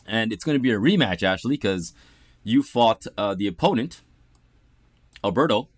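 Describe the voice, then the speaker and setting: A man's voice: lowish voice, animated, moderate pace, no room sound, clean studio sound. A man from Ontario hosting a casual interview, upbeat and chatty. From Ontario, Canada. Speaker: interview host. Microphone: video call setup.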